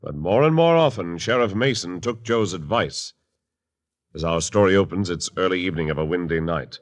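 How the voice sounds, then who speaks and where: A man's voice: low-pitched, animated, normal speed. Dramatic 1950s radio announcer man. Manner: dramatic, emphatic delivery.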